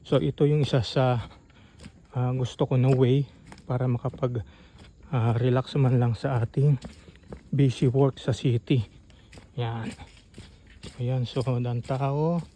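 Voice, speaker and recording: A Filipino man narrating a casual outdoor walk-through in an informal, halting way, as if thinking aloud. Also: medium pitch, warm tone. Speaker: vlogger. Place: outdoors on a rural farm trail. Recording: outdoor ambient noise.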